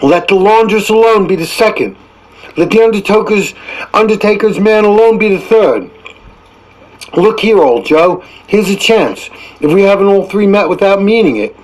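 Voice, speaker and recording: Casting: brazen Victorian scavenger charwoman, played by a man, pitched well up, storybook reading. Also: animated, normal speed, a little mellow, low-quality recording. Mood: brazen glee. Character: brazen laughing working-class charwoman, a scavenger.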